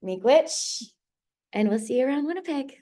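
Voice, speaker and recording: A woman hosting an online launch event, thanking a guest in a relaxed, filler-heavy, chuckling way. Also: high-pitched, moderate pace. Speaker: host.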